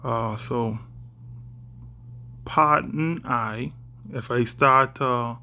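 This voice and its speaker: A young man from the Bahamas with a Bahamian accent, talking to camera in a halting, careful way with many fillers. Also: medium pitch, sharp tone, a little hiss or hum. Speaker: vlogger. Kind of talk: casual talk to camera.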